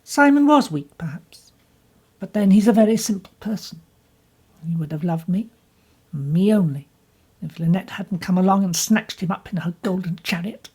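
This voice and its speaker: A woman playing a bitter young woman, intense and husky. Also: medium pitch, lively intonation, moderate pace, darkish tone, pitched above the performer's own voice. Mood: impassioned. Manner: intense, pleading, emphatic.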